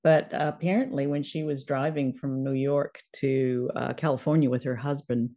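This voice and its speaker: A woman's voice: extremely low pitch, steady tone, moderate pace, dark tone. An older woman hosting a show, chatting casually about a radio drama. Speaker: podcast host.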